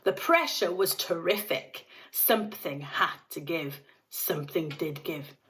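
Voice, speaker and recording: A woman's voice: lowish voice, measured pace, a bit bright. A woman, a teacher reading aloud, narrating a children's story expressively.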